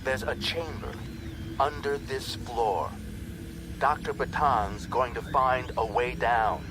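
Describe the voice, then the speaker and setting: A man's voice: mid-range voice, slow pace, background noise, voice pushed up, natural voice. A man voicing a harsh, commanding female alien scientist in an audiobook, clipped and explanatory. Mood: commanding, urgent. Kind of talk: audiobook character acting.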